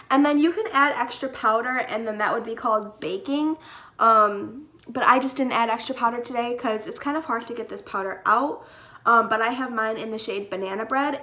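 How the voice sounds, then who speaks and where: Young female beauty vlogger, higher voice, slightly congested, chatty and filler-heavy. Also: bright, forceful tone. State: stuffy from a cold. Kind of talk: casual makeup tutorial.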